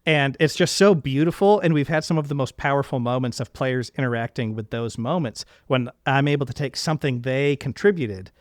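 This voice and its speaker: A man's voice: medium pitch, brisk, few pauses, dry recording, studio quality. A man hosting a casual interview podcast, talking in an earnest, effusive and wordy way. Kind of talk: casual, reflective interview conversation.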